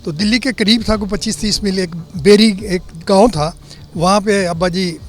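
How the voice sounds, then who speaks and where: A man in his eighties, born in Delhi and living in Lahore, recalls his childhood migration as an interviewee, telling it in an unhurried way with plain homely detail. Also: high voice, soft background music. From born in Delhi, lives in Lahore. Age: 80s. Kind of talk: reminiscing eyewitness account.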